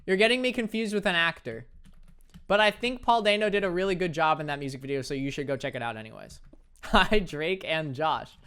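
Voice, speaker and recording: A young man with a light, high-pitched voice, chatting casually and playfully with his viewers while he plays a game, laughing often and joking at his own expense. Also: animated, a little fast, pushed and bright, clean tone. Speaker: streamer. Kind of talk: casual chat reading viewer messages while gaming.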